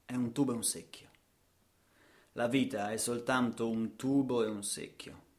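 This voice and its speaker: A man telling a story as a radio theatre narrator, in deliberate, theatrical phrases with repetition. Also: mid-range voice, steady tone, a little mellow.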